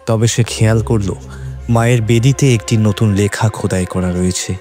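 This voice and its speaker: A man's voice: fairly low pitch, fairly even intonation, normal speed, darkish tone. A man narrating a scripted horror story in a dramatic storytelling style. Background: background music.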